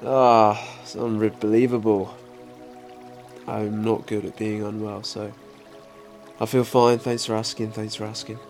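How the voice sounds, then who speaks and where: A man with a head cold, nasal and bunged up, calmly guiding a breathing meditation and counting breaths. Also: lowish voice. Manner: calm, unhurried counting and instruction. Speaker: meditation guide and podcast host. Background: soft background music.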